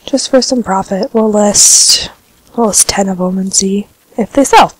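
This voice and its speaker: A woman talks casually over her game footage, a bit congested from a cold. Her voice sits in a mid range, and the recording is close and dry with no room sound. She rambles in a relaxed way, with plenty of ums. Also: mid-range voice, unhurried, breathy edge, dry recording, rough recording. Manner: relaxed, chatty, filler-heavy. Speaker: gaming vlogger. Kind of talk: casual gameplay commentary.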